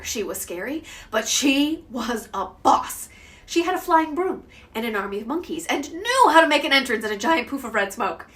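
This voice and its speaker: A woman delivering a theatrical dramatic monologue in an emotive, emphatic way, swinging between soft and loud. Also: a little high. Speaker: actor performing monologues. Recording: distant mic with clear background noise.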